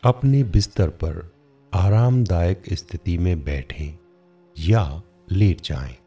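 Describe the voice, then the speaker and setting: A man narrating a scripted guided meditation in a measured, instructional manner with frequent pauses. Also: sits low, warm tone, slightly rough, faint music behind.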